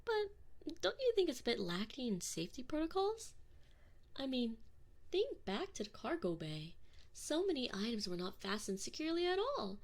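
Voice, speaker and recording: A woman's voice: high voice, sing-song, heavily acted. A woman playing a sadistic, mock-polite alien enforcer in an ASMR roleplay, taunting sweetly and possessively into a close binaural microphone. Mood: gleeful mockery. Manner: slow, mock-sweet, taunting, often dropping into breathy whispers.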